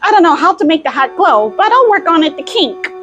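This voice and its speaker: A woman's voice: very high voice, sing-song, brisk, fairly bright tone, full character voice. A woman voicing a playful, clumsy female cartoon mouse who is an apprentice sorceress, chatting to herself in a role-play video. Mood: chatty, musing. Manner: chatty, thinking aloud in short exclamations. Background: faint music.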